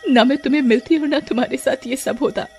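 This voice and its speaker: A high-voiced, defiant young heroine in a storybook drama, acted by a woman. Her delivery is heightened and full of feeling. Light music sits behind. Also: fast, a little mellow, slightly rough. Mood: tearful, guilty.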